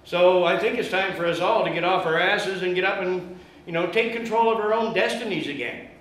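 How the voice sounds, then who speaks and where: A man speaks loudly and fervently to a meeting, rallying the listeners and peppering his talk with fillers. His voice sits high. The room echoes heavily around him, with a faint hiss underneath. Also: a little fast. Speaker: guest speaker at an activist meeting. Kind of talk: impassioned public speech.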